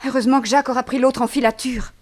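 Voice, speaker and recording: Quick-talking anxious young companion. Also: female voice, high-pitched, flat delivery, darkish tone, dry recording. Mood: concerned, questioning. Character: anxious, inquisitive young female companion of a detective. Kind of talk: French radio serial acting.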